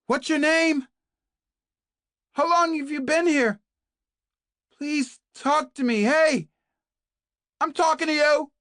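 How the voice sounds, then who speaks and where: A narrator voicing a desperate captive woman doctor who shouts frantically for help. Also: high voice, slow, long pauses, no echo, clean studio sound, pitched above the performer's own voice. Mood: pleading.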